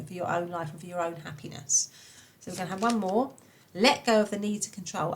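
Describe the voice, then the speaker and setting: Croaky-voiced woman reading tarot cards. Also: fairly low pitch. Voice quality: hoarse, slightly nasal. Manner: chatty, reassuring, many fillers. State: croaky voice from a cold, blocked nose. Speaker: tarot reader. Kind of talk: pick-a-card tarot reading.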